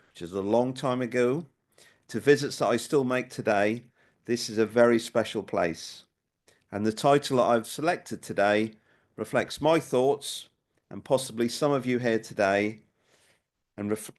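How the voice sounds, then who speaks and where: An older man, in his sixties or beyond, with a Norwich accent, giving a prepared history talk in a measured, rhetorical way. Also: medium pitch. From Norwich, England. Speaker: local history speaker.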